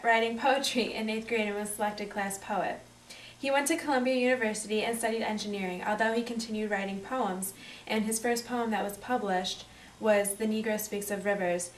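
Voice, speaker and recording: A woman's voice: fairly high pitch, lightly acted. Woman playing a 1920s newswoman, echoey and distant. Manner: 1920s slang, reading from script with slips. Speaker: news anchor. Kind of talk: scripted 1920s news broadcast. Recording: echoey room, distant mic, background noise.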